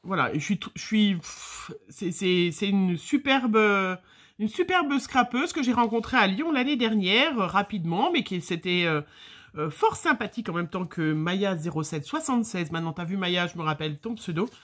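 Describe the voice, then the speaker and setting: A woman's voice: medium pitch, animated, normal speed. A middle-aged French woman with a slight cold, chatting casually and rambling with many fillers as she opens her mail for her viewers. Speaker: scrapbooking vlogger. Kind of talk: casual chat, opening mail on camera.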